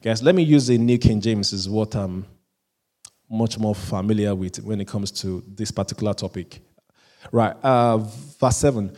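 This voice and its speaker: A pastor preaching a sermon, often repeating and restarting phrases, and chuckling at himself now and then. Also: male voice, on the low side. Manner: rises into louder, slower emphatic reading of scripture.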